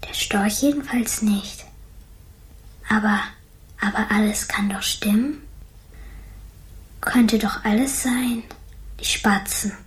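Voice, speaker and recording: A hushed young girl in a radio play played by a female actor, reading to herself. The voice is whispery and breathy, slow and musing. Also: mid-range voice, lightly acted. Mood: puzzled. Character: young girl Kiki reading a letter, pondering it.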